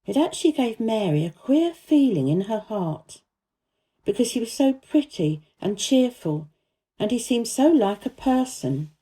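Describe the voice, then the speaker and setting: Female children's audiobook narrator: mellow tone, lively intonation, careful, expressive scripted reading. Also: fairly high pitch, measured pace, breathy edge. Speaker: storyteller.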